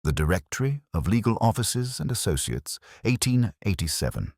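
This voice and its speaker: A man's voice: on the low side, very animated, moderate pace, gravelly, darkish tone, no room sound, clean studio sound, pitched above the performer's own voice, heavily acted. A man voicing a keen, commanding detective in an audiobook, precise, formal and theatrical in his phrasing. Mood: brooding, deliberate.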